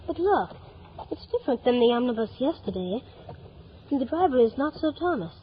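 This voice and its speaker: Eager, high-voiced boy, old radio drama. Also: sing-song, fairly quick, slight background noise. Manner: earnest, eager, politely insistent. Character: earnest, eager, polite young boy, full of wonder. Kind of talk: 1950s radio drama acting. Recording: old radio broadcast, narrow band, music behind.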